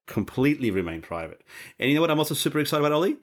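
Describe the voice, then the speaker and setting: A man's voice: on the high side, sing-song, racing. A man co-hosting a casual tech podcast, talking with an eager, promotional enthusiasm. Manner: enthusiastic, promotional, repeats "which is fabulous". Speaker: co-host.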